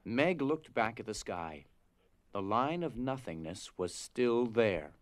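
A man's voice: lowish voice, expressive, takes it slowly, dry recording. A man narrating a scripted story for an audio drama.

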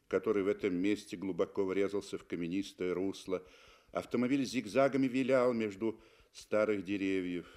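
A man reading literary prose as a narrator in measured, dramatic 1960s Soviet radio style. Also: lowish voice, darkish tone.

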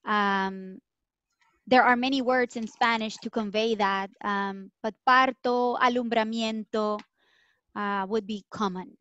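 A young woman interpreting medical terms on a video call, speaking in a careful, measured way. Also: a little high, fairly even intonation, a bit bright, clean tone, no echo. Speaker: Spanish interpreter. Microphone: laptop mic on video call. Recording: dull dry video call audio.

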